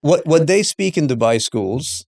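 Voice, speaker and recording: A middle-aged man hosting a casual interview, haltingly searching for words and repeating himself. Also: fairly high pitch, animated, a little mellow, dry recording. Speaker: interview host.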